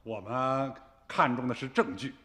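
A man playing a composed police investigator in a radio drama, talking in a measured, formal, insistent way. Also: medium pitch, sharp tone. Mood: polite, probing.

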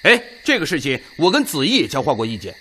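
An actor playing a confident, analytical Red Army officer who gives an earnest, orderly briefing in a Chinese radio drama. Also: male voice, on the high side, huge pitch swings, quick pace, sharp tone, a little hiss or hum, exaggerated voice.

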